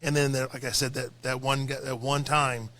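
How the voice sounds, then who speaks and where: A man's voice: mid-range voice, level tone, brisk, pushed and bright. A tired man with a slightly crackly voice, speaking earnestly and reflectively as a guest in a casual podcast conversation. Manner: earnest, reflective, repeats phrases.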